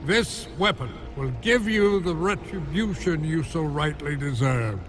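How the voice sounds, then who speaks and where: An actor playing an anguished, scheming old giant smith god in a video game cutscene, halting and theatrical. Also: male voice, a little high, noisy background, lightly acted. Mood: ingratiating, scheming. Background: cinematic game music.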